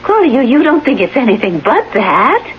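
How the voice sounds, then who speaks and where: An actress playing a protective, no-nonsense caregiver in a radio drama, firm and reassuring in manner. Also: female voice, a little high, big pitch swings. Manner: firm, reassuring, brisk dismissals. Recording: muffled, hissy old radio broadcast.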